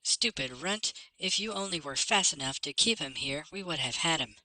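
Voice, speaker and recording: A man's voice: fairly high pitch, animated, normal speed, pushed and bright, no echo, voice pushed up, exaggerated voice, poor audio. A man voicing a bossy, scornful young male werewolf with a taunting, boastful manner in a fantasy audiobook.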